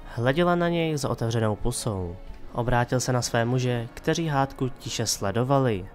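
A man's voice: medium pitch, lively intonation. A man giving scripted dramatic narration of a fantasy story as the narrator of an audio drama. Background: music.

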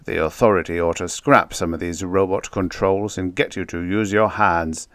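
A man's voice: low voice, fairly even intonation, moderate pace, fairly bright tone, no echo, studio quality. A man voicing an earnest, well-meaning spaceman who is insistent and plain-spoken, in a story reading.

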